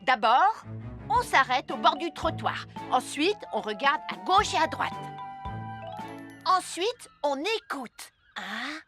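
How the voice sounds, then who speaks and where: Very high, boastful little boy. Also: big pitch swings, takes it slowly, exaggerated voice. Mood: proud, excited. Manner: theatrical, whiny when challenged. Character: boastful, excitable little boy playing a superhero. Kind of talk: dubbed children's cartoon. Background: music.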